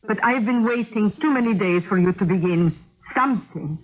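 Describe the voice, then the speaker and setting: A woman's voice: medium pitch, animated, normal speed, natural voice, rough recording. An actress in a 1970s radio drama playing an older widowed peasant mother, firm and coaxing, stern but loving. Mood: stern, reproachful.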